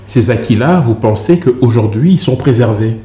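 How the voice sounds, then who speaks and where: A man hosting a television interview, putting polite, wordy questions to a guest in a measured, formal style. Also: medium pitch, expressive, warm tone. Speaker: TV interview host. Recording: roomy echo, faint background noise.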